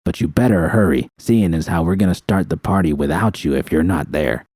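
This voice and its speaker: Man voicing a low, menacing male gangster. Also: brisk, darkish tone, slightly rough, no echo, clean studio sound. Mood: smug, commanding. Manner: gloating, drawling threats. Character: menacing, taunting male gangster holding a hostage. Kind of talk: dramatic audiobook character reading.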